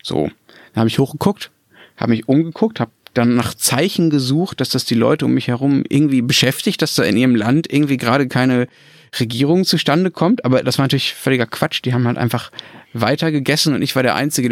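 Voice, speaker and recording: A man's voice: medium pitch, brisk, no room sound. German male podcast host, dry close sound. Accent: German Standard German. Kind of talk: conversational presenting, anecdotes and discussion.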